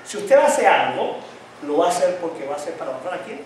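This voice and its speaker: Male preacher, higher than most, call-and-response style, slight tongue-tie; echoey church hall, mic at a distance. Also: very animated, fast talker, background noise. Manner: call-and-response questions to the congregation; says he has a tongue-tie that tangles his speech. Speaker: pastor. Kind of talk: sermon.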